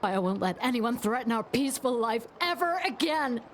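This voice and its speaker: A woman's voice: a little high, expressive, normal speed, slight background noise, lightly acted. A woman playing an anxious, defensive mother in a video game, speaking with emotion. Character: anxious, defensive mother clinging to her son.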